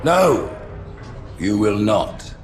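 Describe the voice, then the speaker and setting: Casting: alien religious leader, low and measured, grandiose, calculating, game drama with music underneath. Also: male voice, sing-song, heavily acted. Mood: stern, condemning. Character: stately, calculating male alien high prophet. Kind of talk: dramatic video game cutscene acting.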